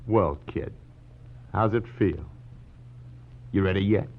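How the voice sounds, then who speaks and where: A man's voice: sits low, takes it slowly, full character voice. An actor playing a cold, taunting gunman and ex-convict with slangy menace in a 1940s radio crime drama. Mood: gloating, taunting. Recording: dull old 1940s radio recording.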